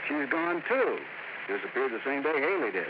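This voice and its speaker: Actor as a relaxed lieutenant, offhand, vintage radio sound. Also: male voice, medium pitch, moderate pace, close to an everyday voice. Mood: casual. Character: easygoing middle-aged police lieutenant. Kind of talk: 1950s radio drama acting. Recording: dull lo-fi old radio with loud hiss.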